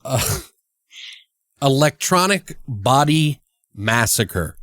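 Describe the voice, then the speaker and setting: A man's voice: medium pitch, sing-song, very slow, fairly bright tone, dry recording. Man, podcast host, casual and jokey, dry close-mic sound. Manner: friendly, jokey, prompts the guest.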